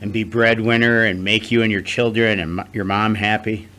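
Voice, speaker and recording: A man's voice: lowish voice, steady tone, normal speed, fairly bright tone, close to an everyday voice, low-quality recording. A man playing a repentant yet defensive unfaithful husband in an audio drama. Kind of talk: scripted audio drama acting.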